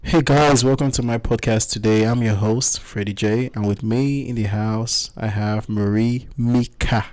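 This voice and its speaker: A man's voice: lowish voice, fairly even intonation, measured pace, hardly any pauses, darkish tone. A man hosting a podcast interview in a studio, chatty and teasing, often repeating himself. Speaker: podcast host.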